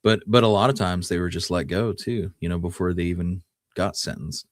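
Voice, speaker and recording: A man with a low-pitched voice co-hosts a history podcast. He talks in a casual storytelling way, feeling out his phrasing as he goes. The sound is dry, with very little room to it. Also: fairly even intonation, brisk, no room sound. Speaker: podcast co-host.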